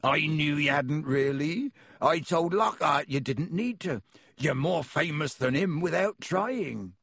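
A man voicing a big, gruff, kindly half-giant gamekeeper who growls in a rustic dialect. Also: fairly high pitch, lively intonation, slow pace, a bit bright, dry recording, studio quality, voice pushed up, full character voice.

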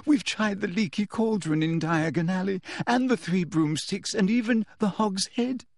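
A man voicing an earnest, anxious teenage schoolgirl with a pleading, fretful, halting way of talking, in an audiobook story. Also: sits high, lively intonation, darkish tone, slightly rough, no room sound, clean studio sound, voice pushed up, full character voice. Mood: wailing.

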